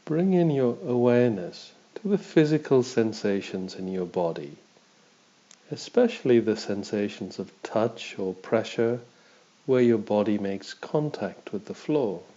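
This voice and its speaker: Guy doing a guided body scan meditation, calm teacher type, lowish voice, really slow, kinda muffled lofi audio. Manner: calm, measured, instructional.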